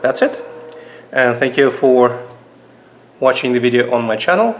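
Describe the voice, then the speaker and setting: A man with a Russian accent casually reviewing knives at a table for his channel, halting with many fillers and restarts. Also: mid-range voice, lively intonation, slight background noise. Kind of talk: casual knife review vlog. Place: indoors at a table.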